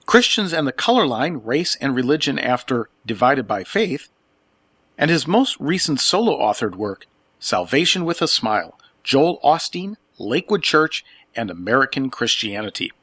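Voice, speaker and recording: Chatty Wisconsin man as podcast host, sharp voice, dead-dry room. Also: medium pitch, sing-song, normal speed, slightly rough, dry recording. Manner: chatty, friendly questioning with small asides. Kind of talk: interview podcast.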